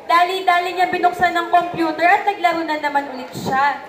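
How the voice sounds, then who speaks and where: Casting: young woman storyteller, Filipino accent, high register, scripted read in a reverberant room. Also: fairly even intonation, brisk, crowd noise. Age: young adult. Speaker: narrator. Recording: echoey room, faint background noise.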